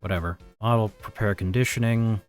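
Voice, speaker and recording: A man's voice: lowish voice, steady tone, a little fast, faint music behind. A man chatting casually as he codes on a livestream, muttering to himself and grumbling with some swearing. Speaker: livestreaming programmer. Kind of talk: casual chat while live coding.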